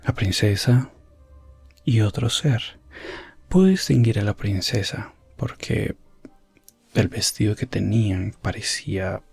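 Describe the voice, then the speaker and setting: A man narrating a medieval ASMR roleplay as an elf prince explorer, in a hushed voice that slips in and out of whispering. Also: on the low side, takes it slowly, creaky, pauses often, breathy edge, faint music behind. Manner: hushed, drifting between soft voice and whisper. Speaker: ASMR storyteller.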